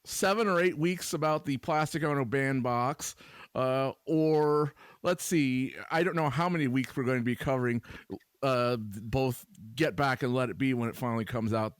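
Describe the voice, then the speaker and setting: A man co-hosting a podcast in casual banter, with a dry, deliberate, playful delivery. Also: mid-range voice, clean tone, dry recording. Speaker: podcast co-host guest.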